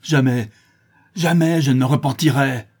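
A brutal brigand struck blind, from a storybook, acted out by a male reader. The voice jumps high and loud, with exclamations and anguished repeated words. It keeps a soft, husky grain. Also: lively intonation, moderate pace. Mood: vengeful rage. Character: brutal male brigand, newly blinded, raging then pitifully despairing.

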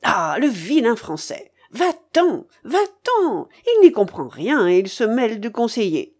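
A woman voicing an imperious, quick-tempered old grandmother in an audiobook, blunt and scolding. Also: a little high, big pitch swings, darkish tone. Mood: exasperated. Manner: commanding, exclaiming, scolding. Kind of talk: audiobook character voice.

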